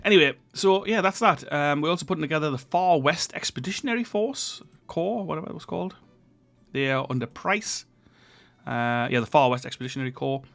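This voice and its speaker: A man commenting casually on a strategy game he is playing, sounding congested and croaky from a cold as he thinks aloud over the map. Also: on the high side, brisk. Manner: relaxed, thinking aloud with fillers while reviewing the map. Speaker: gaming channel host.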